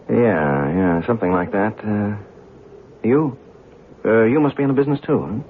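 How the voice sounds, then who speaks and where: Tinny, faintly hissy old radio drama: young skeptical writer, lowish voice with lively swings, awkward and curious. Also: male voice, measured pace. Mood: doubtful, curious. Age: young adult. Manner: hesitant, stammering in conversation. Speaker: actor. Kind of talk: radio drama acting, narration and dialogue. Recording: tinny, band-limited old radio with hiss.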